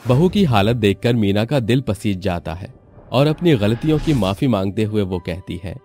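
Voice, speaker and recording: A man's voice: fairly low pitch, lively intonation, moderate pace, a little mellow, faint music behind. A man narrating a scripted family story as a storyteller.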